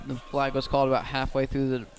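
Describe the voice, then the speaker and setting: A man doing live radio play-by-play of a football game in measured, factual short phrases, speaking from outdoors at the field. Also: mid-range voice, completely flat delivery. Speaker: play-by-play commentator.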